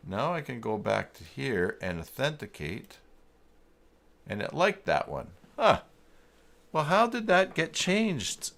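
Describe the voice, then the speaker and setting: A man hosting a casual live tech tutorial, thinking aloud in a halting way and muttering to himself as he works. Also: mid-range voice, big pitch swings, vocal fry, fairly bright tone. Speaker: live stream host giving web tutorials.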